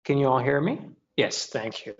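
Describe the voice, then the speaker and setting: A man from Morocco who lectures in computer science, giving a relaxed, friendly webinar presentation with frequent pauses and fillers. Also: medium pitch, highs cut off, slight background noise.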